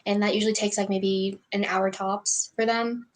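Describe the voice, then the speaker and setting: A teenage girl speaks as a guest in a casual discussion. Her pitch is average for a young woman. She phrases things in a halting, hesitant way. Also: level tone, slight background noise. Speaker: podcast guest. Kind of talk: casual personal chat.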